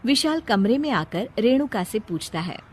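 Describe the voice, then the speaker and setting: A woman's voice: fairly high pitch, sing-song, moderate pace, husky. A woman narrating a scripted cartoon family story as storyteller.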